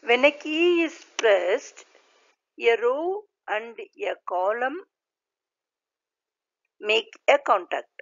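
Slow, lively-pitched woman lecturer. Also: vocal fry, no echo. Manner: deliberate, halting delivery in short phrases. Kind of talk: scripted slide lecture read aloud. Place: voice-over recorded over slides. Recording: dull, lo-fi voice recording.

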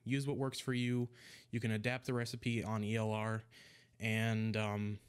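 A young man casually walking through a recipe in a home vlog, sounding a little congested, relaxed and rambling, often restarting his words. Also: lowish voice, measured pace, clean tone. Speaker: e-liquid mixing vlogger. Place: small room at home, indoors. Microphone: desk microphone in front of him.

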